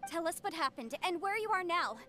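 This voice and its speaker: A woman's voice: very high voice, expressive, brisk, bright, forceful tone, heavily acted. A woman voicing a polite, earnest young adventurer in a video game. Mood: concerned.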